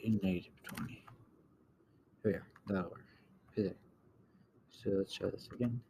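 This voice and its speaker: Low voiced guy doing a casual coding tutorial, flat delivery, thinks out loud, noisy with keyboard clicks. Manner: halting, thinking aloud, often trailing off. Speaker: tutorial host. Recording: clear background noise, keyboard clicks.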